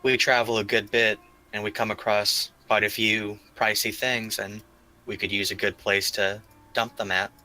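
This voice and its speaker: A man's voice: fairly low pitch, flat delivery, normal speed, bright, forceful tone, faint music behind, low-quality recording. A man playing a courteous, slightly tentative mercenary bodyguard in a tabletop game. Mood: polite, businesslike. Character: polite male mercenary bodyguard and travelling peddler.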